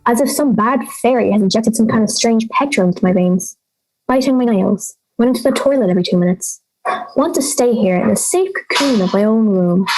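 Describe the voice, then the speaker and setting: A young woman acting a lonely, fearful girl who voices brooding inner thoughts in halting phrases in an audio drama. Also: medium pitch, a little mellow, lightly acted, poor audio.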